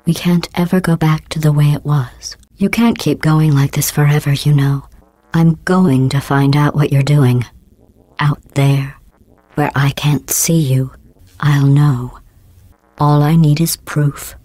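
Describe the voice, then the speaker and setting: A woman voicing a cold, sarcastic female AI supercomputer in a video game, with a robotic vocal filter and a deadpan, passive-aggressive delivery. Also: low voice, unhurried, no room sound. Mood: deadpan mocking. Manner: deadpan, passive-aggressive insults in a calm clipped cadence. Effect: robotic vocal processing.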